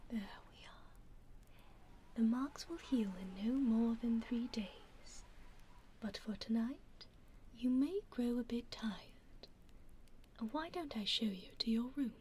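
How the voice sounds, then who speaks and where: A woman's voice: a little high, slow pace, pauses often, a little mellow, slight background noise. A woman whispering as a genteel British vampire lady. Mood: soothing. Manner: whispered, coaxing, politely menacing. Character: genteel female vampire lady, coaxing and smug. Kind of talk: ASMR roleplay.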